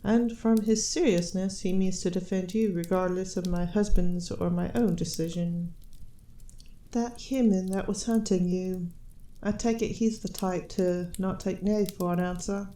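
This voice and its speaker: A woman playing an elf queen and mother, speaking in a formal, measured, gracious and gently teasing way in a fantasy audio roleplay. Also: on the low side, steady tone, lightly acted. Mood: gracious, inquisitive. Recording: roomy, reverberant sound.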